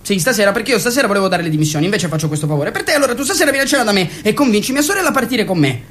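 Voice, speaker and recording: A naive, stubborn young factory worker in a radio play. He blurts his words out at a racing clip, stammering and restarting, his pitch leaping up and down. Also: very animated, a bit bright. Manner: blunt and stammering, rushes words. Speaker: radio drama actor.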